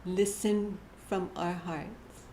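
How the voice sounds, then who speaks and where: A woman giving a short inspirational church message, gentle and halting, often laughing at herself. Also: lowish voice, fairly even intonation. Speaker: church speaker. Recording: echoey hall with faint room noise.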